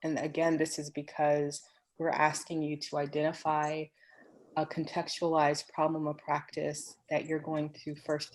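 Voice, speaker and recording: A woman answering questions in an online webinar, explaining at a measured pace with frequent ums. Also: low-pitched, darkish tone, low-quality recording. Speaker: program faculty answering questions. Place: home office on a video call. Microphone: computer microphone.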